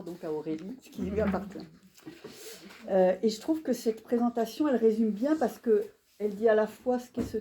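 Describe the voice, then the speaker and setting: A woman's voice: mid-range voice, mellow. A woman hosting a live author event in a bookshop, presenting a novel with enthusiastic, admiring remarks. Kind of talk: live book event introduction.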